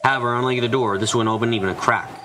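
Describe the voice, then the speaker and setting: A man's voice: medium pitch, fairly even intonation, rapid-fire, background noise, close to an everyday voice. A man voicing an anxious, frightened narrator trapped and desperate, in a first-person visual novel fan dub. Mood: uneasy, brooding. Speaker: voice actor narrating.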